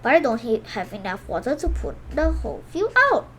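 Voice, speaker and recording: Woman playing a male storybook farmer, high pitch, anxious, earnest, lively intonation, faint background noise. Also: a little fast, close to an everyday voice. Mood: worried.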